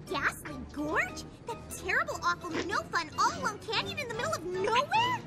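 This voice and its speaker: Brief: a very high, swooping, bubbly young cartoon pony mare, breathless chatter, over loud show music. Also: female voice, big pitch swings. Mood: dismayed. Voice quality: squeaky, breathless rapid-fire chatter.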